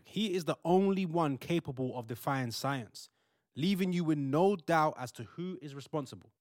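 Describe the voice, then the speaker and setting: A man's voice: a little high, animated, normal speed, dry recording, clean studio sound, natural voice. A man playing a devout young husband-to-be in a modern audio drama, earnest and colloquial. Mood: earnest persuasion. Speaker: actor in audio play.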